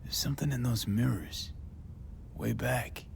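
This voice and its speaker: A man's voice: mid-range voice, measured pace, vocal fry, slight background noise. An actor playing a hardened, weary male mercenary in a game cutscene, speaking in terse, sardonic, clipped lines. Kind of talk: video game cutscene acting.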